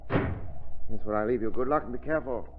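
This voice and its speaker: An actor playing a composed, authoritative American amateur sleuth in a 1930s radio drama. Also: male voice, medium pitch, normal speed, lightly acted. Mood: conspiratorial, calm. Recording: muffled, hissy 1930s radio recording.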